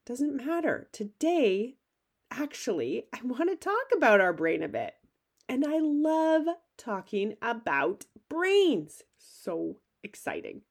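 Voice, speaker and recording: A woman's voice: fairly high pitch, very animated, slow pace, gravelly. Upbeat woman podcast host with a cold. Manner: upbeat, enthusiastic, conversational. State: has a cold, slightly gravelly. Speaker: podcast host and life coach. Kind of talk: solo podcast monologue.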